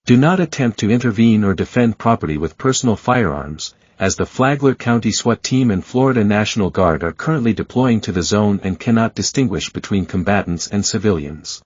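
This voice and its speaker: Man, emergency alert announcer, low voice, formal measured reading, dull lo-fi dry audio. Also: breathy edge, no echo. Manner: formal, even, measured delivery. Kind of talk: scripted emergency bulletin reading.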